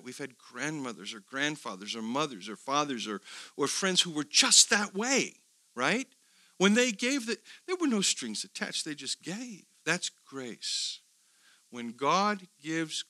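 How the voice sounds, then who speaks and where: A middle-aged man lectures a seminar group in a bright, forceful voice pitched a little high. He keeps putting questions to his listeners, sinks to murmured asides, then rises sharply for emphasis. The sound is dry and close. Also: no room sound. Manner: animated, asks the audience questions, swings from quiet asides to loud emphasis. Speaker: theology teacher.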